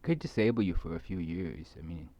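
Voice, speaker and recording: A man giving a rambling, casual monologue to viewers, halting and repeating his words as he trails off. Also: low voice, very animated, muffled recording. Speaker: video creator speaking to viewers.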